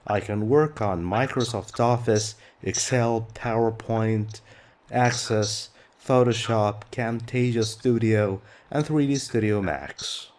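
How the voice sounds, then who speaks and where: A man's voice: fairly low pitch, slow, a little mellow. A middle-aged man whose first language is Arabic, teaching an English textbook lesson patiently and repeating key words.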